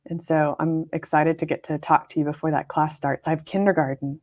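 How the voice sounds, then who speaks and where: Upbeat young woman teacher, lowish voice, talking from her classroom. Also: level tone, brisk, darkish tone. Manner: upbeat, talkative. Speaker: kindergarten teacher and podcast co-host. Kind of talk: casual chat.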